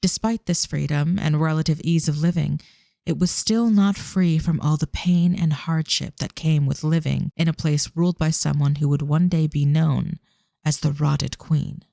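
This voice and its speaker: A man's voice: on the high side, steady tone, moderate pace, creaky, warm tone, no room sound, studio quality. A man reading scripted narration for an audio drama as its storyteller.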